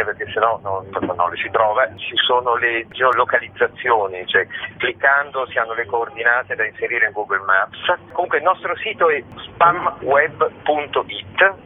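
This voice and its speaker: A man being interviewed as a guest on radio, talking in a discursive way with many filler phrases. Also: medium pitch. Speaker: guest, theatre festival organiser. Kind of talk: spoken radio interview, explanatory. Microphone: telephone. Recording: noisy narrow-band phone line.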